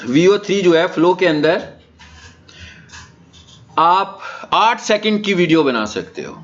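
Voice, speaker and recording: Man, Pakistani accent, slightly high voice, informal trainer's lecture, conversational, explanatory, muffled lo-fi recording. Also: animated, takes it slowly, fairly bright tone. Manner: explanatory, conversational, rhetorical questions and check-ins. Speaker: digital marketing trainer. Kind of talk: informal lecture in an orientation session. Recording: dull, lo-fi session audio.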